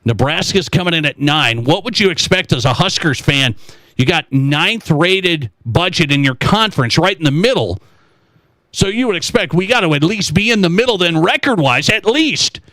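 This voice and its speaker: High-pitched, bright male sports radio host. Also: moderate pace, no echo, low-quality recording. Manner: animated, poses rhetorical questions to listeners; repeats phrases for emphasis. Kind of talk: conversational sports talk radio monologue.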